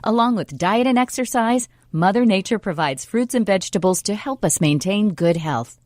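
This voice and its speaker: A woman's voice: mid-range voice, lively intonation, normal speed, darkish tone, no echo, studio quality. A woman delivering scripted ad narration in a polished, explanatory manner, as a commercial announcer.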